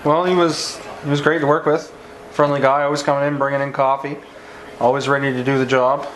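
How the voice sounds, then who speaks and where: A man's voice: mid-range voice, flat delivery, a little fast, a bit bright, rough recording. A man giving a casual interview answer in short, listed phrases, with faint room noise. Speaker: co-worker being interviewed.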